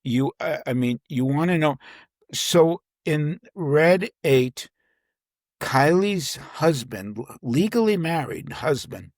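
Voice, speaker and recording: A man talking casually as an author being interviewed, working through his thoughts aloud in halting pieces. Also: a little high, expressive, no echo, studio quality. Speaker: crime thriller novelist, interview guest.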